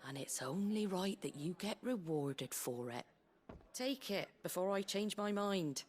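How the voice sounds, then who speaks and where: A woman playing a brisk, plain-spoken British innkeeper in a video game, earthy, colloquial and wry, tough but kindly. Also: mid-range voice, animated, a little hiss or hum, close to an everyday voice. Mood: brisk, teasing. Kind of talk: video game character dialogue. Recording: game music behind the voice.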